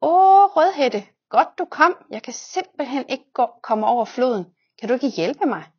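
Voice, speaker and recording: A woman's voice: sits high, animated, slightly rough, muffled recording, pitched above the performer's own voice, heavily acted. A woman voicing a sly male wolf from a fairy tale, read aloud from a storybook. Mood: pleading.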